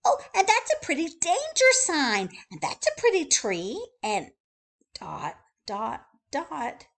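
A woman doing a tiny chatterbox bird for a storybook, her voice pitched far up and bouncing wildly as she gushes through list after list. She is breathless with delight and loud with excitement, a bright little female bird who never pauses. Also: full character voice. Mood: gushing, excited. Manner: excited, gushing, rattles off lists.

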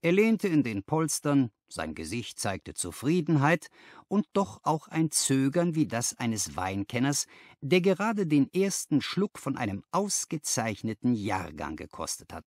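A man narrating a literary story as an audiobook, with expressive delivery and careful phrasing. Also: medium pitch, measured pace, no room sound, clean studio sound. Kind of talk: scripted dramatic literary narration.

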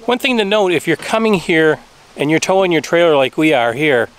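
A man's voice: fairly high pitch, lively intonation, a little fast, smooth. A middle-aged man talking casually to a handheld camera outdoors, giving practical advice about his campground. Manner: plain, practical, explaining to viewers. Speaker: travel vlogger. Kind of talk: casual travel vlog talk. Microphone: camera held at arm's length.

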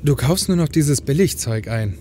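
Actor as an earnest, coaxing brother. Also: male voice, a little high, expressive, moderate pace, dark tone, slight background noise, close to an everyday voice. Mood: concerned, coaxing. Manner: earnest, persuasive. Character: concerned, coaxing brother, pushy but caring. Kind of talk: fan audio drama acting in German.

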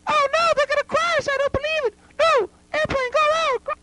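A man's voice: very high voice, steady tone, brisk, very noisy. A man voicing a hapless, whiny male doll in a homemade puppet comedy skit, wailing and exclaiming like a perpetual victim. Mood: panicked, wailing.

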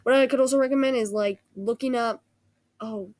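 A woman's voice: on the high side, brisk, a little mellow, no echo. A woman who is sick with a cold gives a casual, rambling art critique over a screen recording, hesitating and restarting often. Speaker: art critique video host.

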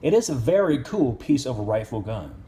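A man's voice: mid-range voice, sing-song, normal speed, dark tone. A man reviewing an action figure in casual speech, with simple, non-native English phrasing. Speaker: toy reviewer.

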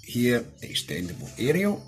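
A man's voice: mid-range voice, huge pitch swings, normal speed. A middle-aged Scottish man giving a casual, chatty, down-to-earth hands-on product review. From Scotland. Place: small indoor room at a desk.

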